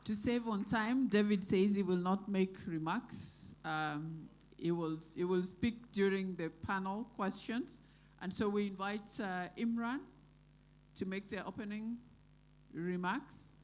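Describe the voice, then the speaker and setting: A woman hosting a live event, making brisk, practical announcements. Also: mid-range voice, a little mellow. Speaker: event host.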